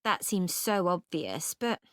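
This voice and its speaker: A woman playing a student in a scripted, measured discussion, giving her opinions deliberately. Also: lowish voice, sing-song, no room sound, clean studio sound. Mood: opinionated. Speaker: voice actor in a listening exam dialogue.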